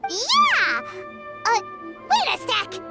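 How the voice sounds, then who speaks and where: A woman voicing a tiny, childlike fairy companion in a video game, excitable, candid and earnest. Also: extremely slow, exaggerated voice. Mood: excited. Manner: squeaky, exclamatory, earnest. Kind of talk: video game character acting. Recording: loud music behind the voice. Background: game music.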